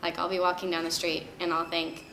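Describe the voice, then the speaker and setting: A woman's voice: fairly low pitch, monotone, a little fast, creaky, sharp tone, roomy sound, a little hiss or hum, rough recording. A woman in her twenties from Oklahoma, answering interview questions in a giggly, chatty way. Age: 20s. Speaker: singing contest entrant. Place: wood-panelled room, seated in armchair.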